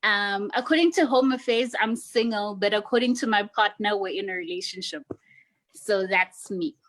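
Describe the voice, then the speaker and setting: A woman's voice: mid-range voice, animated, normal speed, a bit bright, low-quality recording. A woman in her twenties, wry and joking as she introduces herself on a video call. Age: 20s. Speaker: guest.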